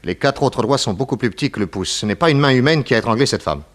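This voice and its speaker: An actor playing a composed, inquisitive, matter-of-fact private detective in a 1960s French radio drama. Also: male voice, mid-range voice, lively intonation, fast, lightly acted. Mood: grave, observant. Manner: matter-of-fact, probing, dry.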